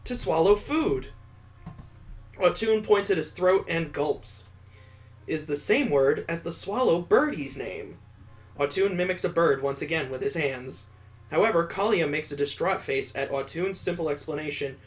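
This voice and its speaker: Guy doing a let's play, slightly high voice, reads the story then cracks sarcastic asides. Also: moderate pace, soft background music. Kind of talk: reading a visual novel aloud with joking commentary.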